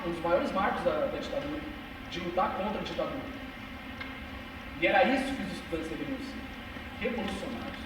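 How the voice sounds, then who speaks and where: A man's voice: high-pitched, noisy background. Impassioned young man in an echoey hall. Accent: São Paulo interior Brazilian Portuguese. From Brazil. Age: 20s. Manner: impassioned, emphatic, uses street slang. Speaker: speaker giving a talk. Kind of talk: passionate live talk to a small audience. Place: cultural center hall. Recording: echoey hall recorded from far off.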